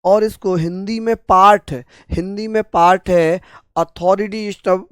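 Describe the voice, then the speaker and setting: A man with a sore, hoarse throat teaching an exam-prep class, repeating each term and answering his own questions. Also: high-pitched, no room sound. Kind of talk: online exam-prep lecture.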